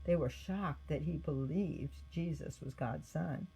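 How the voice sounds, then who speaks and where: Female storybook narrator: lowish voice, soft-edged and a little husky, expressive scripted read. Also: slow pace, low-quality recording. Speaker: storybook reader.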